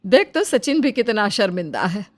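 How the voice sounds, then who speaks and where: Cartoon story role, woman performing: elderly village aunt, reasoning, medium pitch. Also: very animated, moderate pace, close to an everyday voice. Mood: persuading. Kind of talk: cartoon moral story dialogue.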